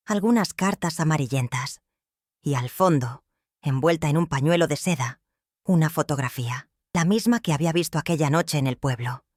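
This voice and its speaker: An older woman from Michoacán, narrating a first-person life story in a measured, solemn manner. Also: sits low, no room sound, studio quality. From Michoacán, Mexico.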